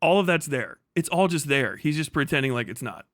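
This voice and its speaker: A man's voice: fairly high pitch, expressive, fairly quick, a bit bright, a little hiss or hum. A man doing casual, profane reaction commentary as a streamer, exasperated and emphatic, rambling as he argues.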